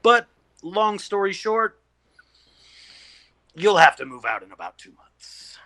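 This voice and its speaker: A man's voice: sits high, sing-song, slow, sharp tone, exaggerated voice. A man acting a self-important, officious delivery messenger in a comedic play.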